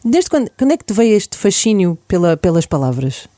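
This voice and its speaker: Quick-talking, teasing woman. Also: mid-range voice, very animated, warm tone, no room sound, clean studio sound. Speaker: radio co-host. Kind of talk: casual chat.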